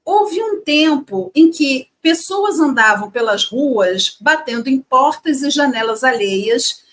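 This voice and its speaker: A woman's voice: high-pitched, animated, slow pace, fairly bright tone. A woman co-hosting a casual educational chat, reading facts and adding playful asides. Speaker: co-host.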